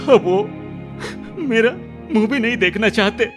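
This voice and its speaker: A man playing a remorseful, tearful younger brother in a radio drama, pleading haltingly and repeating his words. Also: sits high. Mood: sorrowful, resigned. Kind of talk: Hindi radio drama acting. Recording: music under the voice.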